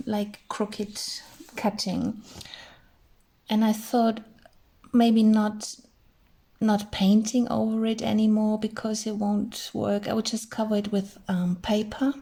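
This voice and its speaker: A middle-aged woman, chatting gently through a crafting tutorial and thinking aloud as she works. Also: medium pitch. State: hoarse. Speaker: crafting channel host. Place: indoors at a craft table.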